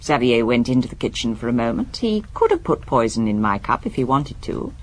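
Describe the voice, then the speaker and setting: An actress playing a cool, guarded, disdainful wife under police questioning in a radio drama, speaking deliberately. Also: female voice, extremely low pitch, very animated, exaggerated voice. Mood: guarded, pointed.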